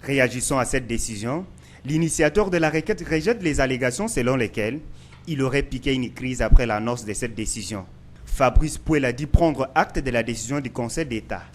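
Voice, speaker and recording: A man reading a scripted news report in a measured, formal way. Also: mid-range voice, heavy background noise.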